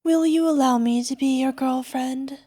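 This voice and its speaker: A woman playing a young, sweetly possessive yandere alchemist girlfriend in an ASMR roleplay, coaxing softly and sometimes slipping toward a whisper. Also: sits high, fairly even intonation, moderate pace, no room sound. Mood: smug, possessive. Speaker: voice actor. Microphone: close microphone, dry.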